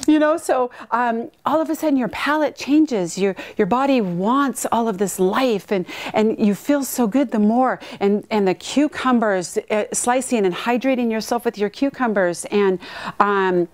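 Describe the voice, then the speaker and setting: A woman in her fifties from California, giving an excited, unscripted testimonial with many ums and restarts. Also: a little high, unhurried. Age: 50s.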